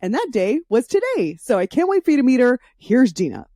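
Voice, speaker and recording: A woman's voice: a little high, big pitch swings, a little fast, mellow. A woman hosting a podcast in a casual, chatty, informal way, speaking freely with swearing. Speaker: podcast host. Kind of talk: casual solo intro and interview chat.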